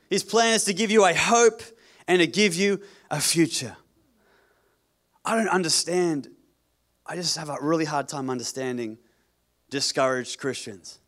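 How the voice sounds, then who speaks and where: Fairly high-voiced preaching man. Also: fairly bright tone. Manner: repetitive, rhetorical, calls for amen; jokey asides. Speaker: pastor. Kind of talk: sermon.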